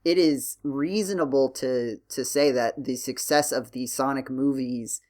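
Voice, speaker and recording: A man's voice: mid-range voice, measured pace, darkish tone. A man hosting a podcast, talking casually and working through his points with hedges and self-corrections. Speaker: podcast host.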